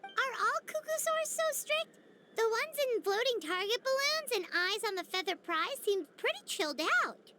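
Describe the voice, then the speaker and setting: A woman's voice: extremely high pitch, big pitch swings, moderate pace, very noisy. A woman voicing a tiny floating female companion who is cheeky and blunt in a video game. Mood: curious. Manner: snarky, bouncy.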